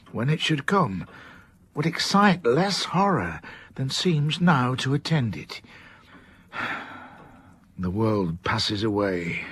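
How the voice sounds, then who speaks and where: A gruff aging scholar, husky. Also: male voice, mid-range voice, fairly bright tone, full character voice. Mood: solemn. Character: aging male 18th-century scholar, blunt and gruff. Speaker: actor in a radio drama. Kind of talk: radio drama acting.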